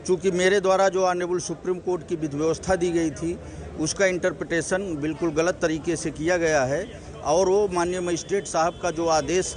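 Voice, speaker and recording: Higher-pitched north Indian man, formal lawyer. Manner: formal, mixing in English legal terms. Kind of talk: press statement on a court ruling.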